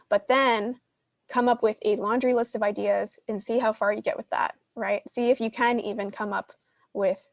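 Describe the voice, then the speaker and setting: A woman's voice: medium pitch, dry recording. Female session leader, mid-range voice, conversational advice-giving that works things out aloud, very dry close recording. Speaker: podcast host teaching a live Q&A session. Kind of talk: casual advice, answering audience questions.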